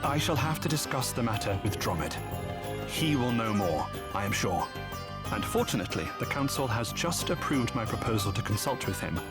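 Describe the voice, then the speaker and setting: An actor playing a dignified, resolute prince in a video game, speaking formally and politely. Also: male voice, lowish voice, sing-song, moderate pace. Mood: composed. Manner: formal, stately. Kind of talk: video game cutscene acting. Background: loud background music.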